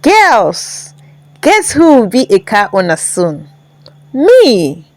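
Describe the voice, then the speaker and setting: Woman playing a boastful young woman, animated. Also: fairly high pitch, slow, dry recording, exaggerated voice.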